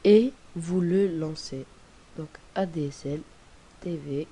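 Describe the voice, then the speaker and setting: Young guy with a cold, slightly high and mellow, rambling tutorial voice-over, faint hiss. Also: takes it slowly. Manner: rambling, hesitant, many fillers and restarts. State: has a cold. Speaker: tutorial presenter. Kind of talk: casual screen-recording tutorial. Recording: faint hiss on home recording.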